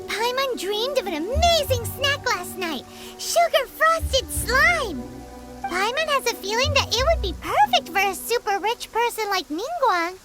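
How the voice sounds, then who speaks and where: A little floating fairy girl from a video game, excitable and greedy. The voice is extremely high, squeaky and childlike, leaping around in bubbly exclamations over loud music. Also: heavily acted. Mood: excited. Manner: bubbly, exclamatory, refers to self in third person. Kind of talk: animated video game voice acting.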